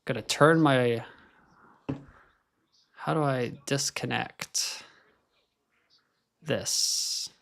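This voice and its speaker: A man's voice: medium pitch, very slow, gravelly, pauses often, a bit bright. A young man with an American accent, born in Scotland, hosting a casual live stream and chatting while he watches qualifying. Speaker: livestream host.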